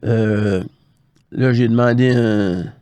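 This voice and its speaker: A man's voice: fairly low pitch, steady tone, slow pace, mellow, clear, resonant tone, dry recording. Older Quebec man telling stories, dry close sound. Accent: Quebec French. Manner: colloquial, re-enacts conversations with quoted dialogue. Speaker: guest, former hockey scout. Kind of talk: casual anecdotal storytelling in an interview.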